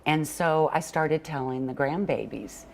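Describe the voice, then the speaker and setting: A woman's voice: sits low, unhurried. An older woman answering conversationally as an interview guest, in a plainspoken, storytelling way. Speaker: interview guest, children's book author and illustrator. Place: TV morning show interview.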